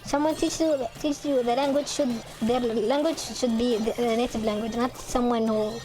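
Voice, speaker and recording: A young woman with an Arabic accent, a native Arabic speaker, chatting casually over gameplay in halting English full of restarts and fillers. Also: a little high, steady tone, mellow, faint music behind, rough recording. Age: young adult. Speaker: gaming channel host.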